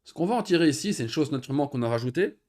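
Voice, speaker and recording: A man teaching an Arabic lesson in French. He reads each Arabic phrase and then explains it patiently, with pauses and fillers. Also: a little high, lively intonation, darkish tone. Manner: patient, repeats words slowly with pauses and fillers. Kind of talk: recorded online lesson.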